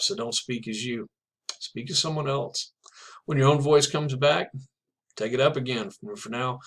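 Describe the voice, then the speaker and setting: A man's voice: fairly low pitch, a bit bright, rough recording. A man teaching writing in a video lesson. He talks casually and encouragingly, with small laughs now and then. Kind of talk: instructional video talk.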